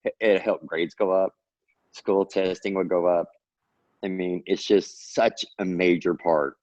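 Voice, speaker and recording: A low-voiced man with a drawl talks earnestly in a rambling, encouraging way. His voice comes over a dull, lo-fi video call and sounds dry and close. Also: fairly even intonation, a little slow, a little mellow, no echo. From Texas. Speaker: guest author. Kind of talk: casual interview talk.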